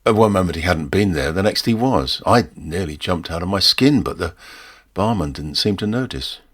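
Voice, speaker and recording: A British man reading a first-person short story aloud in a measured, expressive way with dry, wry humour. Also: deep voice, breathy edge.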